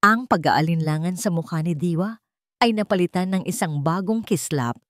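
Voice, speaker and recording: A woman narrates a scripted drama in a low voice. The recording is dry and close, with no sense of a room around her. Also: moderate pace, no room sound.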